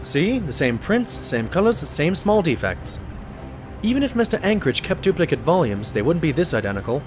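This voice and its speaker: An actor playing a modest, loyal pony doctor who narrates and talks in an audio drama in an earnest, expressive way. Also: male voice, a little high, normal speed, music in the background. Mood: thoughtful, deducing.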